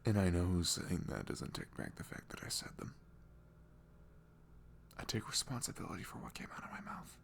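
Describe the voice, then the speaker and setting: A man playing an emotional, remorseful ex-boyfriend in an intimate ASMR roleplay, speaking softly and haltingly as he pleads and confesses. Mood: whispered pleading. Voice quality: soft, breathy, low murmur with creak.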